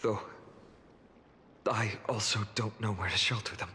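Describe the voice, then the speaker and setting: An actor playing an earnest young male leader in a video game, speaking in a measured, formal, solemn way. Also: on the low side, fairly even intonation, sharp tone, slight background noise. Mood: grave, urgent. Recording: long reverb, music behind.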